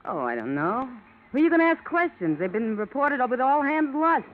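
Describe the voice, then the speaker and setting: A woman's voice: high voice, very animated, a little fast. An actress playing a wary, sardonic, tough-talking young barroom woman in an old-time radio detective drama. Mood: dry, needling. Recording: tinny, faintly noisy old radio recording.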